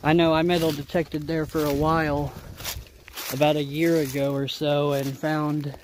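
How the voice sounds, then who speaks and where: A man's voice: fairly high pitch, level tone, normal speed, a little mellow, rough recording. A man rambling in a folksy, casual way as he films an outdoor vlog, thinking aloud while walking. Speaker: outdoor vlogger. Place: outdoors in leafy winter woods. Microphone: handheld camera or phone, behind the lens. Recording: handheld outdoor recording with noise.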